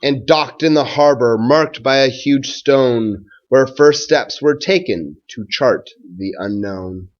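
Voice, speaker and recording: A man who is a schoolteacher doing a read-aloud for children and talking to pupils in a measured, simple way. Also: medium pitch, dull audio.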